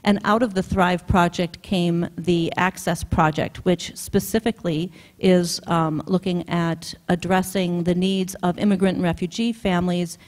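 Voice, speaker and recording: A woman project manager giving a conference keynote talk, relaxed and unscripted, with frequent ums. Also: on the low side, a little slow, hardly any pauses. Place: conference hall.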